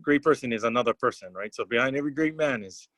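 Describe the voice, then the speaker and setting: A man interviewing business owners, chiming in with lively quick comments. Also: medium pitch, dry recording. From Hawaii. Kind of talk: casual interview.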